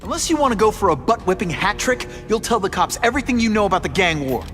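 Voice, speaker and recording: A man's voice: high voice, big pitch swings, quick pace, fairly bright tone, in a large hall. An actor playing a wisecracking young superhero in a video game, teasing a thug as he questions him. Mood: wry. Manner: quippy and teasing. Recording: game audio over music.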